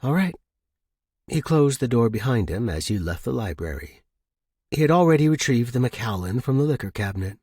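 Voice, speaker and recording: A man's voice: mid-range voice, lively intonation, brisk, darkish tone, slightly rough, dry recording, studio quality. A man hosting a fiction podcast and narrating short stories. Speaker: podcast host and story narrator. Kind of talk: casual intro and scripted audiobook narration.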